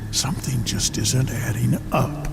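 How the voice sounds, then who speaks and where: A man playing a grim masked vigilante in an audio drama, speaking in terse, brooding, gravelly lines. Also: medium pitch, fairly even intonation, a little slow, heavy background noise. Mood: grim suspicion. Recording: loud music under the voice.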